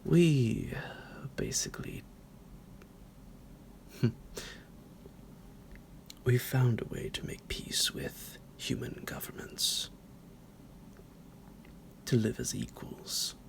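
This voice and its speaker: A man playing a psychotic vampire doctor in an ASMR roleplay, smug and menacing, with a slow, theatrical, teasing delivery in a gravelly half-whisper. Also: wildly swooping pitch, full character voice. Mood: smug, sinister. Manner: slow, drawn-out, theatrical, halting, with low chuckles.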